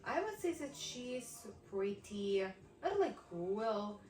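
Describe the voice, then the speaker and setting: A young woman with a Ukrainian accent, chatting casually and thoughtfully, pausing with many fillers. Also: fairly high pitch, very animated, darkish tone, roomy sound, far from the mic, low-quality recording. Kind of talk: casual chat.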